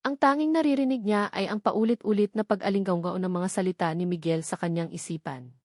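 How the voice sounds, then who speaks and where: A woman's voice: medium pitch, brisk, darkish tone, no room sound, studio quality. A woman reading a scripted romance story as a dramatic narrator.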